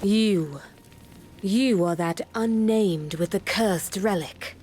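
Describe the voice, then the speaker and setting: A haughty, pious high priestess from a video game, played by a woman. She talks slowly and with measured condescension, with faint ambient noise behind her. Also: a little mellow, lightly acted. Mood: stern.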